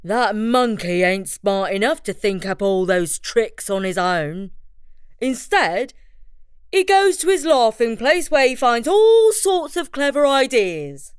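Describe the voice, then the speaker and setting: A woman's voice: on the high side, big pitch swings, slow pace, smooth, dry recording, studio quality. A woman voicing a big, stubborn, greedy male bear in a children's story, folksy, blunt and bossy. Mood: scheming.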